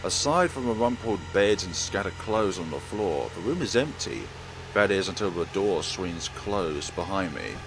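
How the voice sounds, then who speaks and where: A man's voice: low voice, animated, normal speed, noisy background. A man hosting a let's play, narrating and commenting on a visual novel in a theatrical, emphatic way. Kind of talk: dramatic game narration and commentary.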